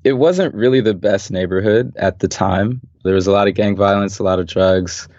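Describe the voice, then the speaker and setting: A young man from Los Angeles talks calmly and thoughtfully as an interview guest, his voice sitting very low. The audio is dull and close, with no room sound at all. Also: normal speed, no room sound. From Los Angeles, USA. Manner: calm, thoughtful, laughs easily. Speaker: podcast guest, artist and designer. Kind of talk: relaxed interview conversation. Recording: dull, dry podcast audio.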